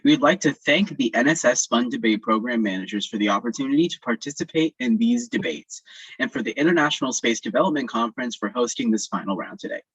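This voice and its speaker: A man's voice: mid-range voice, lively intonation, quick pace, a bit bright, breathy edge, no room sound. A teenage boy from Texas, a student debater reading his prepared opening speech steadily over a video call, with small slips. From Texas, United States. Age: teens. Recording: dull, lo-fi, dry call audio.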